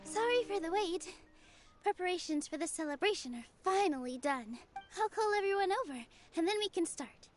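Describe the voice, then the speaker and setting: An actress playing a gentle young dancer who speaks softly and reassuringly, over game music. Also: female voice, very high voice, lively intonation, fairly quick. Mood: encouraging. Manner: soft and reassuring. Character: gentle young female dancer, warm, encouraging.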